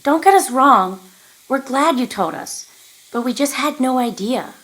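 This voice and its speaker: A woman playing a supportive, concerned mother in an audio drama, speaking gently and carefully. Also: sits high, animated, measured pace, poor audio. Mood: concerned, gentle. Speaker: actress in a scripted podcast drama. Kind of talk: naturalistic audio drama acting.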